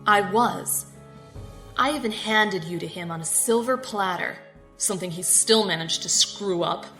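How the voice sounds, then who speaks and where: A woman acting in an audio drama as a controlled, condescending security captain with a formal, measured manner and polite menace. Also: mid-range voice, a bit bright, faint music behind, lightly acted. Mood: smug, controlled.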